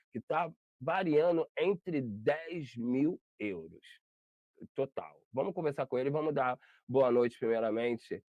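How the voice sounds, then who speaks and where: A man's voice: mid-range voice, no echo. A Brazilian man hosting an interview over a video call, chatty and rambling, often correcting himself. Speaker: interview host. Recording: dull lo-fi video call audio.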